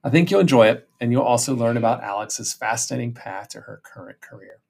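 A man's voice: medium pitch, animated, quick pace, creaky, a little mellow, slightly rough. A man hosting an interview podcast, chatting casually in a friendly way that often stumbles over repeated words. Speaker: podcast host, professor.

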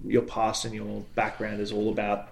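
A man's voice: fairly low pitch, completely flat delivery, normal speed, gravelly. Man, thirties, casual host, rambles and chuckles a lot. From moved to Canada as an adult. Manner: rambling, laughs often. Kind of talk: casual bar-industry chat.

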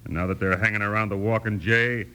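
An actor playing a menacing, hot-tempered outlaw in an old radio western, threatening in every line. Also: male voice, on the low side, fairly even intonation, fast talker, pushed and bright. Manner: threatening, snarling. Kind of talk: 1950s radio western acting.

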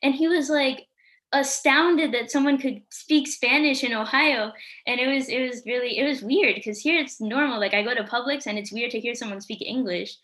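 A woman's voice: sits high, moderate pace, fairly bright tone. A sixteen-year-old girl co-hosting a podcast, chatting casually and saying "like" a lot. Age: teens. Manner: chatty, lots of "like". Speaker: podcast co-host.

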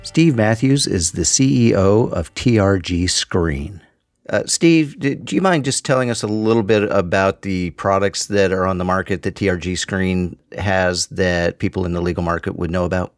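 A man's voice: deep voice, moderate pace, no echo, studio quality. A man hosting a podcast in a conversational way, asking long questions made of several parts. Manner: conversational, asks long multi-part questions. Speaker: podcast host. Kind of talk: interview hosting.

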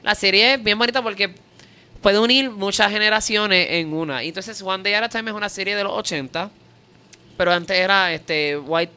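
A talk-show panelist with a bright, clean voice at a middling pitch. The chat is casual and playful, sliding between Spanish and English. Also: normal speed, smooth.

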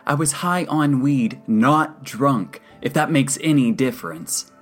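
Woman acting a loud, indignant, defiant young man. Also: a bass voice, animated, takes it slowly, soft background music, voice pushed up, exaggerated voice. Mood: defensive, sarcastic. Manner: profane, indignant, emphatic. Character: angry, brash young man, defiant psychiatric patient.

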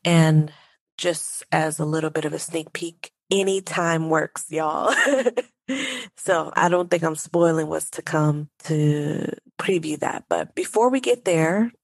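A woman with a low voice and a stuffy nose hosts her own podcast, speaking straight to her listeners in a relaxed, conversational monologue. Her voice is dry and close, with a steady gravelly fry running through it. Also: slow pace, no echo. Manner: conversational, addresses listeners directly. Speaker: podcast host.

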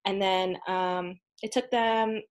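A woman's voice: medium pitch, fairly even intonation, unhurried, smooth. Earnest woman guest, lots of ums. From United States. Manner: earnest, frequent ums, soft laughs. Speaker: podcast guest. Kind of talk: casual interview conversation.

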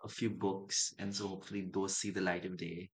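A man's voice: deep voice, flat delivery, fairly quick. A young man from Dubai and Chennai, a writer guest in an interview, talking in a reflective, musing way. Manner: thoughtful, musing, acts out quoted voices.

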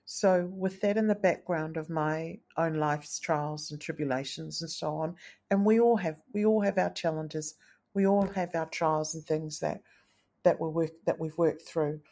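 Woman podcaster with a low tenor-ish voice, earnest and gentle, kind of low pitched. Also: unhurried. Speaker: podcast host. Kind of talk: reflective solo podcast talk.

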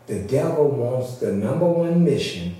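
A man's voice: mid-range voice, animated, measured pace, mellow. A man preaching in a folksy, conversational way, repeating points for emphasis in a sermon style. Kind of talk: sermon teaching with repetition and calls for amen. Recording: very echoey room, distant mic.

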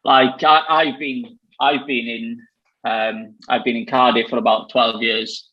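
A man's voice: mid-range voice, flat delivery, measured pace, lo-fi sound. A man from Wales with a Valleys accent, chatting casually as host, rambling with plenty of fillers. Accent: South Wales Valleys. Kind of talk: casual chat.

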